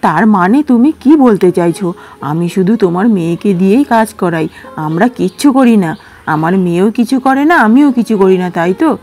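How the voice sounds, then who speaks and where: A woman voicing a sharp-tongued, sulky middle-aged stepmother who nags in a shrill, fast voice, storybook style. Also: natural voice. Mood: scolding. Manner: shrill, nagging, fast scolding. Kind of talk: storybook character voice.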